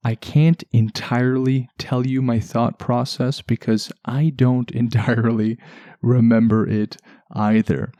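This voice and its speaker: A man hosting a relaxation podcast in a soft, soothing voice, chatting gently and without hurry, lulling enough to send listeners to sleep. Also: lowish voice, no room sound. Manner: gentle, unhurried, chatty asides. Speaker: podcast host.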